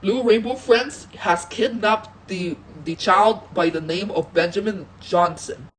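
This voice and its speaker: Female amateur announcer for mock emergency bulletins, mid-pitched, faint background noise. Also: a little slow, low-quality recording. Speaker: emergency alert announcer in an amateur scenario video.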